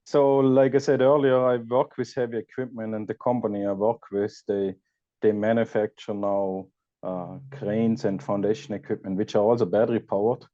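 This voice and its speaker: A man from Austria with an Austrian accent, a podcast guest chatting casually and pausing to search for words. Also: fairly low pitch, little pitch movement, warm tone, no room sound, muffled recording. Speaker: guest, EV content creator. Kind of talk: casual interview chat.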